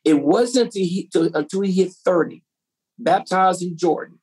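A woman's voice: on the low side, normal speed, mellow, breathy edge. A woman pastor preaching a sermon to a women's group, asking the audience rhetorical questions.

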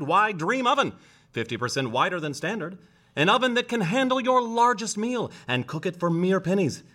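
An actor playing an upbeat, folksy 1950s radio announcer delivering an emphatic sponsor's commercial, live on stage. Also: male voice, high voice, sharp tone, exaggerated voice. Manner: salesmanlike, emphatic.

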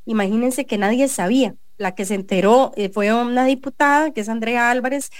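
A woman's voice: on the high side, brisk, no room sound. A woman with a Costa Rican accent hosting a business radio show, giving an earnest editorial and interviewing a guest. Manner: earnest, many restarts and fillers. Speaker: radio show host and journalist.

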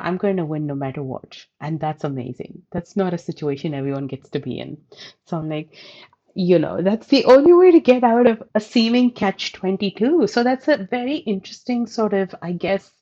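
A woman in her thirties from India with a softened Indian accent, reflecting thoughtfully in an interview, hedging often. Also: fairly low pitch, highs cut off. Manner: thoughtful, hedging, with light laughs. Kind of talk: reflective personal storytelling.

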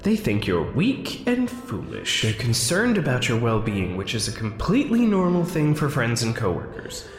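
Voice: mid-range voice, wildly swooping pitch, moderate pace, hardly any pauses, echoey room, big-room sound, faint music behind. An actor playing a tense, skeptical character in an audio drama, with dry, formal, anxious phrasing. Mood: anxious inner monologue. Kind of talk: audio drama dialogue and inner monologue.

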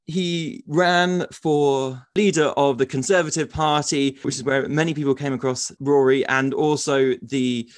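A man's voice: mid-range voice, fairly even intonation, measured pace, hardly any pauses, dry recording. A man hosting a podcast, reading his introduction and then asking a guest questions in an earnest, enthusiastic way. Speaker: podcast host.

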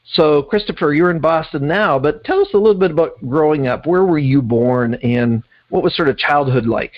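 A man's voice: fairly high pitch, moderate pace, muffled recording. A man hosting a podcast, encouraging and joking with his guest. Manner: upbeat, encouraging, jokey. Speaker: podcast host. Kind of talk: podcast interview and promo read.

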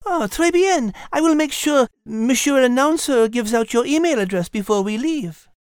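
A woman's voice: high voice, animated, normal speed, clear, resonant tone, no room sound, studio quality. A woman voicing a polite, prim and clever French cat who co-hosts a children's podcast, speaking daintily with a French accent. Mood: warm, courteous. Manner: polite, dainty, hesitant when flustered. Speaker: podcast co-host character.

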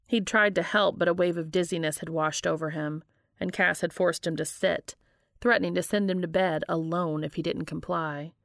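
A woman reading a story aloud as scripted dramatic narration, with a creaky voice. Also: lowish voice, steady tone, moderate pace, fairly bright tone, no echo, clean studio sound. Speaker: audiobook-style reader of fan fiction.

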